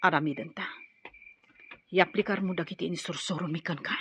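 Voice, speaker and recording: A woman's voice: fairly low pitch, steady tone, fairly quick, slight background noise, natural voice. A woman with a Filipino accent playing an urgent, protective, commanding older-sister rebel fighter in a radio drama. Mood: tense, hushed urgency.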